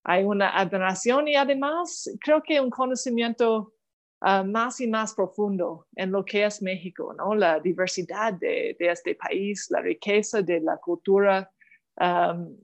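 A middle-aged woman from Canada with a clear Canadian English accent, speaking as a diplomat in an interview. She talks thoughtfully, pausing on fillers and restarting phrases as she searches for words. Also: medium pitch. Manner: thoughtful, frequent hesitations and restarts. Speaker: diplomat guest. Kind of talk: interview answers.

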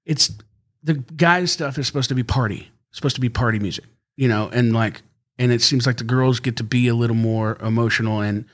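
A man who is a country singer, chatting casually as a podcast guest and rambling easily with frequent laughs. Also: a little fast. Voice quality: high singing voice. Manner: easygoing, rambling, laughs often.

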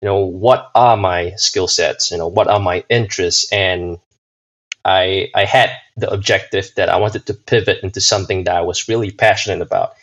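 A man's voice: deep voice, steady tone, moderate pace, fairly bright tone. A man from Singapore, a podcast guest, answering casually with many fillers and restarts. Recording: dull lo-fi sound.

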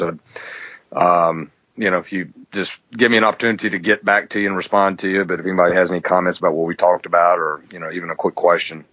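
Guy coach guest on a podcast, lowish voice, rambles casually, dull roomy sound. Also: a bit bright. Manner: rambling, frequent "you know". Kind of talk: casual interview talk.

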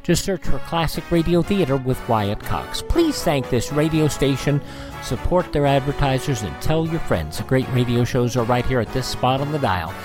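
A man's voice: mid-range voice, expressive, moderate pace, music in the background. A man hosting a radio program, presenting directly to listeners. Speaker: radio show host.

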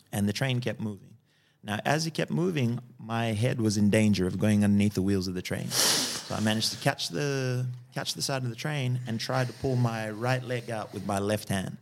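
Gravelly man telling his story. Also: on the low side. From Fiji. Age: early 40s. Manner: calm, tags sentences with "yeah?" and "right?". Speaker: guest. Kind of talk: personal storytelling in a podcast interview.

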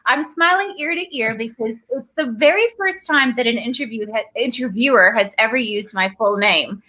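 A woman's voice: on the high side, normal speed, bright, forceful tone. A middle-aged woman from Tanzania, an actress, chatting in an interview with frequent laughs and restarts. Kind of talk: casual interview chat.

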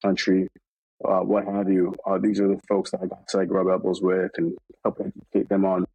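Man, from New York, interview guest, husky, dry close recording, hesitant rambling delivery. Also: sits low, completely flat delivery, brisk, darkish tone, dry recording, low-quality recording. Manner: rambling, many fillers and restarts. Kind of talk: casual conversational interview.

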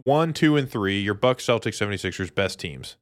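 A man's voice: on the low side, expressive, a little fast, dry recording, clean studio sound. A man co-hosting a podcast, chatting casually about sports in informal, slangy buddy talk. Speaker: podcast co-host.

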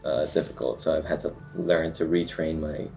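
A young man with a naturally very low voice, a student actor talking in a casual, slightly rambling way. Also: flat delivery, normal speed, creaky, mellow, soft background music.

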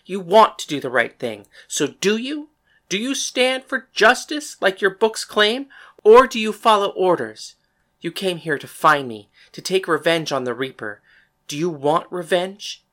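Woman acting out a commanding gunslinger woman, tense forceful tone, protective, defiant, emphatic, western audiobook drama. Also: deep voice.

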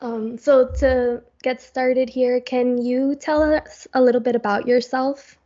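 A woman hosting a podcast, who asks questions in a friendly, relaxed way with filler words. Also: sits high, slow pace. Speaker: podcast host. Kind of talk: casual podcast interview. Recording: dull lo-fi sound.

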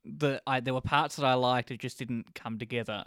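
A man with a clean, resonant voice at a middle pitch co-hosts a casual review chat. The sound is dry and close, with no room around him. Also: fairly even intonation, normal speed, a bit bright, smooth, no room sound. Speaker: podcast co-host reviewing films and shows.

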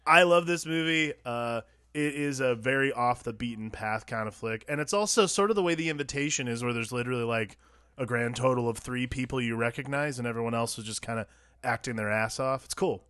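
A man co-hosting a film podcast, relaxed and rambling, often laughing while he talks. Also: mid-range voice, no room sound. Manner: laid-back, rambling, quick to laugh. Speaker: podcast co-host. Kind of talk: casual podcast chat.

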